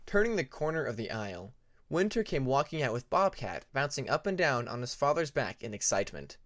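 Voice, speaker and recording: A man narrating a fantasy story as an audiobook, with expressive scripted delivery. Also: mid-range voice, brisk, no echo, studio quality. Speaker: audiobook narrator of fan fiction.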